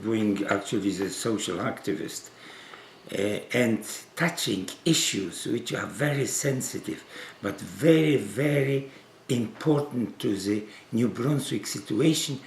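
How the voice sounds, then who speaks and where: An older man with a Polish accent speaks earnestly and a bit haltingly, with a gravelly edge in his voice. He lays out his points at mid pitch in a roomy home living room, with faint hiss behind him. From Poland. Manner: earnest, explanatory, halting with fillers. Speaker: physician guest. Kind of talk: sit-down interview talk.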